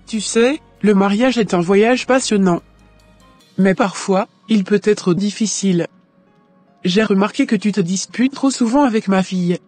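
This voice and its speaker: A woman playing a wise, conciliatory mother-in-law in a scripted cartoon drama, giving advice in a measured way. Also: mid-range voice, a little mellow, faint music behind, lightly acted. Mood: calm, advising. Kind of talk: scripted animated drama dialogue.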